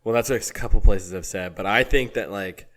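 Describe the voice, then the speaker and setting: A tired man co-hosting a podcast, a bit hoarse, telling rambling stories with lots of laughing in casual chat. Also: on the low side, brisk, fairly bright tone. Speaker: podcast co-host.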